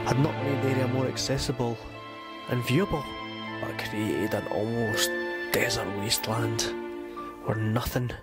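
A man narrating in a slow, solemn, mock-grave documentary voice-over. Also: mid-range voice. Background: loud music.

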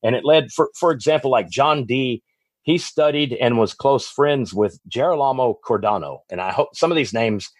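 A man's voice: mid-range voice, moderate pace, dry recording. A man with a Texas accent, a guest author giving long, rambling answers in a podcast interview, often restarting and correcting himself. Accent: Texan.

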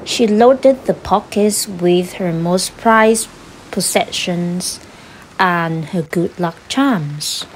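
A woman reading a children's picture book aloud in an expressive storytelling style. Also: lowish voice, extremely slow, slight background noise, poor audio. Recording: clear music behind the voice. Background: background music.

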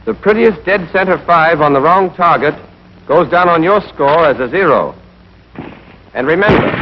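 A man's voice: on the high side, noisy background. Old dull-sounding training film, american guy instructing soldiers, voice goes up and down a lot, straight to the point. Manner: direct, instructive, addresses the trainee. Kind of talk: scripted instructional narration.

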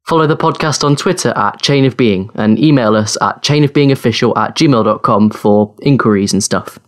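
A man's voice: medium pitch, normal speed. A man reading podcast credits in a plain announcer's style.

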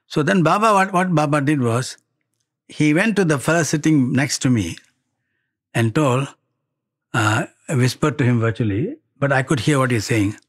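An older man with a Malaysian accent shares personal stories in a conversational way. His voice is husky and mid-pitched. He plays out bits of dialogue and often checks that the listener is following. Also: normal speed. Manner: animated retelling with quoted dialogue and tag questions. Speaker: devotee giving a spiritual testimony. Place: indoor studio set, seated before wood-panelled wall.

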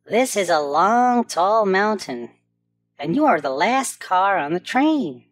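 A woman voicing an anxious flat train car, calling out urgent warnings in a children's storybook. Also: mid-range voice, slow pace, faint music behind.

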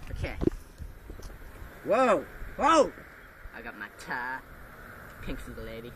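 A man's voice: medium pitch, takes it slowly. Goofy teen boy, outdoors, noisy. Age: teens. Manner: goofy deadpan comic patter. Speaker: comedy video maker. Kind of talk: mock survival tutorial. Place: outdoors in a park. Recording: distant camera mic, loud outdoor noise.

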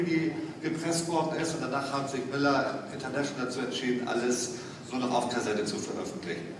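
Opinionated man in a very echoey hall. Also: mid-range voice. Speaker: panel guest from audio drama production. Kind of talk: casual, unscripted panel discussion. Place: stage in a large convention hall. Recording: echoey hall from far off, noisy.

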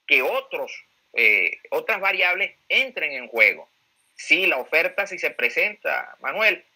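A man's voice: on the high side, bright, forceful tone, poor audio. A Venezuelan man giving political commentary as a pollster, emphatic, repeating key phrases and posing rhetorical questions. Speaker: pollster, guest speaker.

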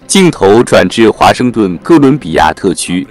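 A man's voice: on the low side, very animated, moderate pace, dry recording, soft background music. A man reading a scripted film plot summary as a narrator.

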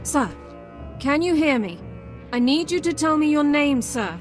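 A woman's voice: sits high, a little slow, faint music behind. A woman voicing a precise, formal young female army sergeant in a video game, with clipped delivery. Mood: efficient.

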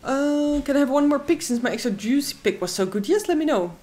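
A woman's voice: high voice, lively intonation, a little fast, dark tone. Chatty Dutch woman streaming slots. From Netherlands. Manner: chatty, reads chat aloud, squeals at wins. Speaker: casino stream co-host. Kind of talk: live stream chat and commentary.

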